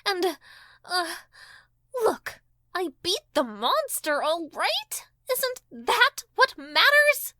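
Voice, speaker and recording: A woman's voice: very high voice, very animated, extremely slow, bright, forceful tone, full character voice. A woman voicing a ditzy, whiny teenage magical-girl heroine in a parody audio drama, dramatic and easily flustered. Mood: flustered, defensive.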